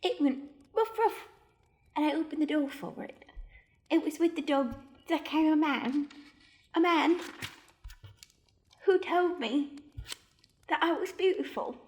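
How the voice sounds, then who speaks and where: A woman's voice: high-pitched, animated, measured pace, pauses often, darkish tone. A woman playing a needy, defensive eccentric with a dramatic delivery in an improvised comedic radio play. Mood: storytelling.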